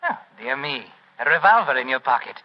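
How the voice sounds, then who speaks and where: A man's voice: fairly high pitch, big pitch swings, a little fast, vocal fry, full character voice. A man acting a politely menacing, formal, gloating waxworks owner and murderer in a 1940s radio drama. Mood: smooth, ominous politeness. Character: suave, sinister male waxworks owner, secretly a murderer. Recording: dull, noisy 1940s radio recording.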